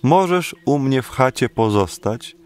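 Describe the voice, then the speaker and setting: An actor playing a humble, simple beekeeper elder with a modest, grave manner in a radio drama. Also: male voice, mid-range voice, expressive, measured pace, clear, resonant tone, close to an everyday voice. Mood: firm. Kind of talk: illustrated radio drama acting.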